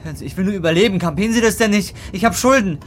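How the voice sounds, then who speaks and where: A man's voice: high-pitched, racing, slight background noise, lightly acted. An actor in a radio drama playing a desperate, bitter young man in his twenties, slangy and foul-mouthed. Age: 20s. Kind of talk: radio drama acting and narration.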